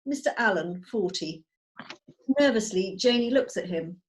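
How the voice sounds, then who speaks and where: A woman's voice: medium pitch, lively intonation, measured pace, low-quality recording. A woman narrating the stage directions of a script in a table read over a video call.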